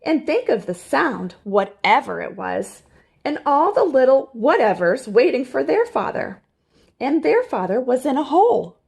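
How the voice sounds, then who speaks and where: Lively, high-pitched woman reading a children's story. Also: a little slow, creaky, slightly rough. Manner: expressive, theatrical emphasis. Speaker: storybook reader. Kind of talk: animated children's read-aloud.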